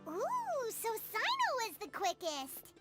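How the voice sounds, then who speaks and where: Squeaky, very high childlike fairy. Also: female voice, huge pitch swings, slow, music in the background, exaggerated voice. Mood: surprised, eager. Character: tiny childlike floating fairy companion, chirpy, blunt, talks in third person. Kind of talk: video game dialogue.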